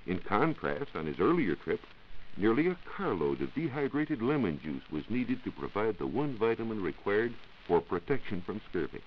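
An American man giving a scripted, measured science talk as a reporter on a 1940s radio show. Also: on the low side, sing-song. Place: radio studio. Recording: muffled 1940s radio recording with hiss.

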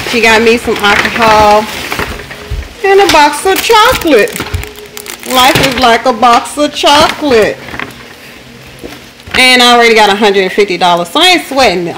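Chatty woman in her forties over sizzling oil. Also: fairly high pitch. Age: 40s. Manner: chatty, talks to viewers and comments. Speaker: live-streaming home cook. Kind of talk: casual live-stream chat while cooking. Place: home kitchen indoors. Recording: noisy phone live stream with frying sizzle. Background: sizzling oil from frying wings.

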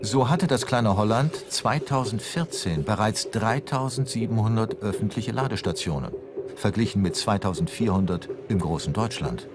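A man's voice: lowish voice, normal speed, very noisy. A man with a standard German accent reads scripted television documentary narration. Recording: music behind the voice. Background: background music.

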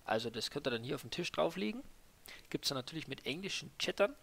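A man's voice: a little high, big pitch swings, quick pace, creaky, a bit bright. A young man casually talking through a truck game while driving, chatty with lots of fillers and tag questions. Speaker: gaming YouTuber presenting a mod. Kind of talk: casual gameplay commentary. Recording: noisy game sound behind voice. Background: truck engine and game ambience.

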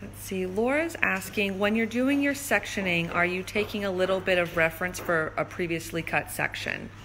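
A woman's voice: mid-range voice, normal speed, fairly bright tone, slight background noise. A woman hosting a casual live stream, putting questions to a guest. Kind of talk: casual live interview, relaying viewer questions. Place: indoors in a hair salon.

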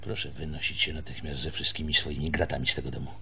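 An actor playing a stern, threatening village schoolteacher in a radio drama, with a pompous, authoritarian manner. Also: male voice, very deep voice, level tone, fast, gravelly, bright, forceful tone, soft background music, exaggerated voice. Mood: coldly authoritarian. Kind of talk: Polish radio drama acting.

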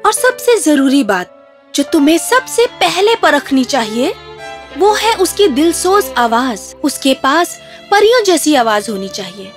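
A very high, swooping voice for a regal queen mother in a cartoon fairy tale. She is exacting and instructs deliberately, with music playing underneath. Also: big pitch swings. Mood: instructive. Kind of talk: children's animated fairy tale voice acting.

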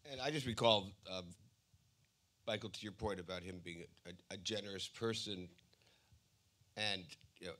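Man in his fifties, low voice, bright edge, halting anecdotal panel talk, handheld mic. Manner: halting, pausing between phrases. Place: museum auditorium stage. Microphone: handheld microphone.

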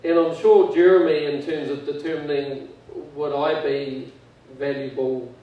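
Measured New man in his fifties. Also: a little high, steady tone. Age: 50s. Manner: measured, earnest, dry humour. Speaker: company chairman, guest speaker. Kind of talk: impromptu speech. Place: large event hall on stage. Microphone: handheld mic. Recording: echoey hall, distant mic, dull sound.

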